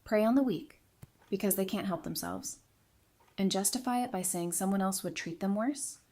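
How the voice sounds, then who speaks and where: A woman's voice: mid-range voice, normal speed, warm tone. A woman acting a sincere, caring friend in a fan audio drama. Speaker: voice actor.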